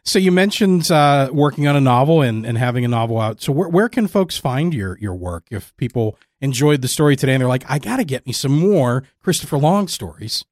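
A man's voice: medium pitch, expressive, brisk, few pauses, a little mellow, no room sound, studio quality. A man hosting a casual interview, speaking in an informal, affirming, conversational way. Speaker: podcast host interviewer.

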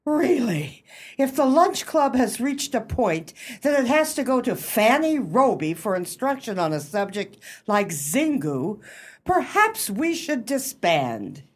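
Radio drama: imperious society hostess played by a woman, precise diction, very lively range. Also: a little high, slow pace, husky, exaggerated voice. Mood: lofty disdain. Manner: pompous, precise, formal diction. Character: haughty society hostess, bluffing book club leader. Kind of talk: radio play acting.

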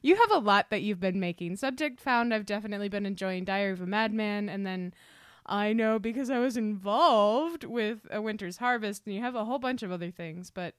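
A woman's voice: medium pitch, fairly quick, smooth, no echo, studio quality. A woman hosting a casual podcast interview in a playful, chatty way. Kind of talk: casual, joking interview chat.

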